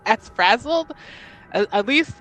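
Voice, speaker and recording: A woman playing a tabletop roleplaying game, talking casually and haltingly in slangy, improvised phrases. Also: a little high, expressive, a bit bright, soft background music, poor audio. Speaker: tabletop RPG player.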